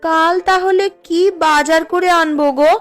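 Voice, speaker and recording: A woman's voice: very high-pitched, fairly even intonation, normal speed, faint music behind. A woman voicing a boastful village housewife in a cartoon story, with a showy, wheedling, homely way of talking. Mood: caring. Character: boastful, envy-stirring village housewife, sweet to her husband. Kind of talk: Bengali cartoon story dialogue.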